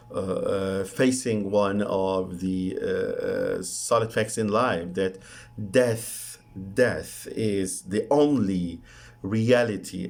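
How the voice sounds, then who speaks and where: Low male voice, Egyptian Arabic accent, academic presentation, halting and deliberate delivery. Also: vocal fry. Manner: halting, deliberate, with drawn-out hesitations. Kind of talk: conference paper presentation.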